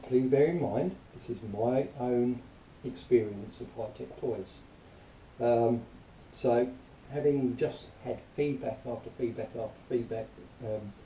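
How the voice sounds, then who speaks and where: A man's voice: mid-range voice, mellow. A man giving a casual, plain-spoken and disgruntled product review to camera. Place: small enclosed room indoors. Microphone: camera mic some distance away. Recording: roomy, distant camera mic, faint noise.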